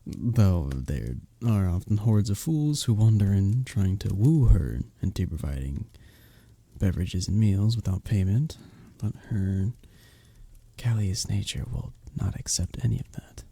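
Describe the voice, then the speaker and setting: Man acting a husky, lively medieval innkeeper. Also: sits low, a little slow, dry recording, exaggerated voice. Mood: fond, amused. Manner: old-fashioned formal wording, teasing storyteller. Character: flirty, good-humoured male innkeeper of a medieval fantasy village. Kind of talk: scripted roleplay audio acting, intimate ASMR.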